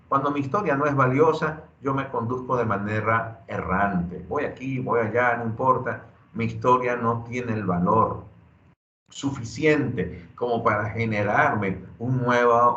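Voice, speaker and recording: A man giving a motivational workshop talk, earnest and preacher-like, slowing down to stress key phrases. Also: mid-range voice, fairly bright tone. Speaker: workshop speaker. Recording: dull lo-fi audio in an echoey room.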